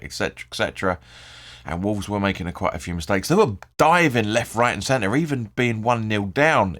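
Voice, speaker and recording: A man with a hoarse, croaky voice hosting his own fan channel, rambling through post-match thoughts, correcting himself and swearing freely. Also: fairly low pitch, fairly bright tone. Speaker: football fan channel host. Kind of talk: casual post-match video commentary.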